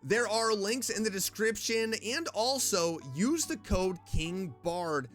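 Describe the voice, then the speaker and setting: An upbeat male streamer reviewing sponsored snacks in a chatty, casual way. His voice is bright and forward and sits a little high for a man. Faint music plays underneath. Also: takes it slowly. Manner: upbeat and chatty, reading ad copy in between.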